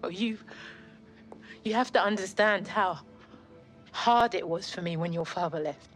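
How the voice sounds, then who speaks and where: A woman's voice: lowish voice, a little slow, soft background music, lightly acted. An actress playing a proud but guarded middle-aged British mother in a cinematic video game drama. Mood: defensive, hurt.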